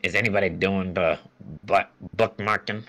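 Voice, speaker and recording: A man's voice: low-pitched, fairly even intonation, moderate pace, sharp tone. A young man chatting casually with friends in a slangy, insistent way. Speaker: amateur comic dub reader. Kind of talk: casual chat.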